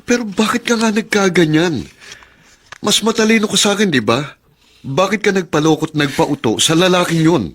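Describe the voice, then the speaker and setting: An actor with a Filipino accent playing a disappointed, protective older brother, questioning with reproach in an audio drama. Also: male voice, high-pitched, expressive, normal speed, a little hiss or hum.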